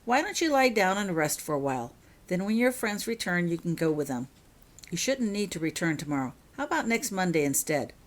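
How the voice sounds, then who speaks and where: A woman voicing a brisk, kindly, matter-of-fact healer at a school in a storybook reading. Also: on the low side, very animated. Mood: brisk, caring.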